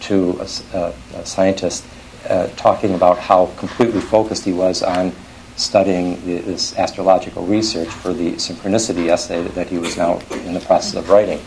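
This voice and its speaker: An older man from Switzerland giving an academic lecture in a scholarly, halting way, often correcting himself mid-phrase. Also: deep voice, level tone, a little mellow, slight background noise, rough recording.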